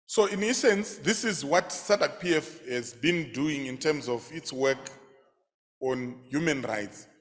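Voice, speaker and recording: A man's voice: mid-range voice, a little slow. A man giving a formal, earnest workshop presentation in a conference hall. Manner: earnest, hands over to speakers.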